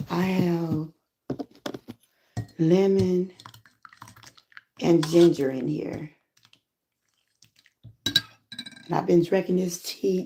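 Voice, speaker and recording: A Southern woman from Georgia with a hoarse voice, chatting casually with viewers on a live stream from home. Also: fairly low pitch, noisy background. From Georgia, USA. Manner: relaxed, chatty, greets viewers often. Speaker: lifestyle vlogger and channel host.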